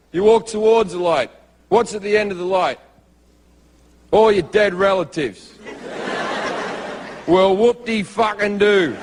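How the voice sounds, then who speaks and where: High-voiced guy doing sweary stand-up, noisy live recording. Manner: profane, sardonic punchlines. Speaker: stand-up comedian. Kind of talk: stand-up comedy routine.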